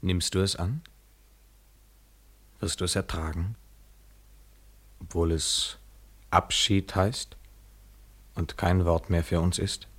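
Grave lover, radio drama, low, slow, raspy. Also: male voice, steady tone, exaggerated voice. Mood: grave, tender. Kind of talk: German radio drama acting.

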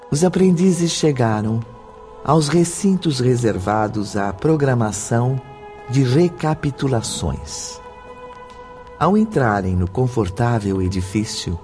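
A man acting as the calm, formal narrator of a radio drama, reading scripted storytelling. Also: medium pitch.

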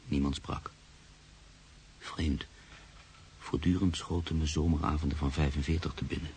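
An actor playing an anxious, brooding expectant father in a Dutch radio drama, speaking in a sombre, measured way. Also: rumbling low, level tone, a little mellow, a little hiss or hum. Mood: sombre narration. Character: Freek, brooding anxious man, expectant father, narrating his story.

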